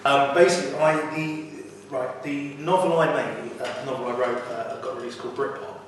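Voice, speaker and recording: Man with a loud booming voice, mid pitch, distant mic, very echoey hall, faint noise. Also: steady tone, normal speed. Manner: hesitant, with fillers. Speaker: novelist. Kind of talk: casual interview answers.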